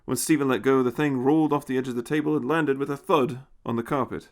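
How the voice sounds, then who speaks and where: A man's voice: medium pitch, steady tone, fast. A man reading a scripted science fiction story as its narrator.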